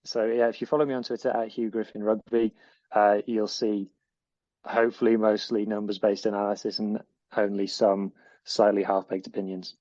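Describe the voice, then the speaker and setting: A man's voice: on the low side, little pitch movement, moderate pace, a little mellow, no room sound, dull audio. A man with a Northwest English accent, co-presenting a podcast in an eager, rambling way. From northwest England. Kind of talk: casual podcast chat.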